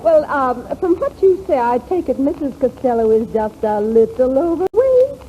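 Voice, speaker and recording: High-voiced, refined lady fashion expert. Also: big pitch swings, normal speed, dull audio, a faint crowd. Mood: politely inquisitive. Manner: refined, lecturing, patient. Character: self-assured, fussy lady fashion author. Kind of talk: 1940s radio comedy acting. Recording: slightly hissy 1940s radio recording.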